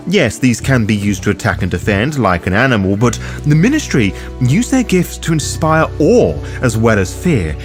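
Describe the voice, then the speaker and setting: A man hosting a scripted video guide, speaking in a theatrical, deliberate way. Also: medium pitch, very animated, faint music behind. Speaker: host of a roleplay guide.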